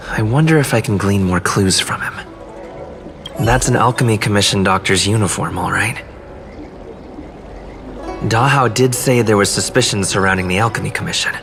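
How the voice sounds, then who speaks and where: A man voicing a composed, shrewd investigator in a video game, measured and musing as if to himself. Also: on the low side, music in the background, natural voice. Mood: pensive. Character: shrewd, composed male investigator piecing clues together.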